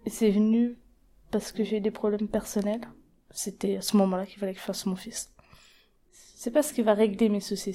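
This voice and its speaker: A woman in her twenties from the Paris region speaks plainly to an interviewer. She pauses often and hesitates with fillers. Her voice is mellow and dark, and her pitch sits at an ordinary middle level. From Paris region, France. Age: 20s. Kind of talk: documentary interview testimony.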